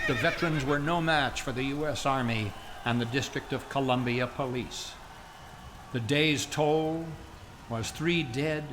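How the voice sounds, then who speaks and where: A man narrating a radio play in a measured, grave, scripted documentary style. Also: mid-range voice, noisy background.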